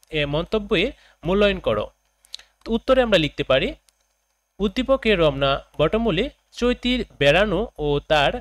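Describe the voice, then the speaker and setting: A man, a teacher reading out lesson questions and answers for students in a deliberate, phrase-by-phrase way. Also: sits high, dry recording.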